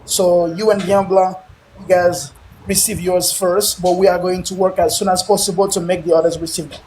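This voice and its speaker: A man's voice: high-pitched, little pitch movement, normal speed, slight background noise, poor audio. Boisterous man live streaming. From Haiti. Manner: loud, laughing, switches between English, Spanish and French.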